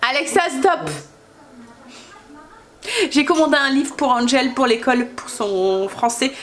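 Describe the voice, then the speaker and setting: High-voiced woman with a stuffy cold. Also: animated, unhurried. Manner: chatty, hesitant with many fillers. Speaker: family vlogger. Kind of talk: casual cooking vlog chat. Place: home kitchen.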